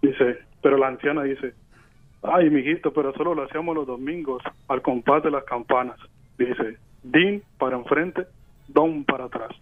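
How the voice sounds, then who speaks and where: Man calling in on a dull phone line. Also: mid-range voice. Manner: laid-back joke telling, halting restarts. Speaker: caller telling a joke.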